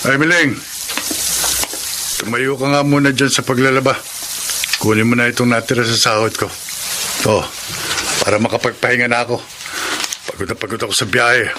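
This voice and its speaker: An actor playing Simon, a tired working father and van driver who argues with his wife and then plots in hushed tones with a friend. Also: mid-range voice, fairly even intonation, moderate pace, pauses often, lightly acted. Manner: tired then argumentative, later hushed and conspiratorial. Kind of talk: classic radio drama acting. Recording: noisy old radio drama recording.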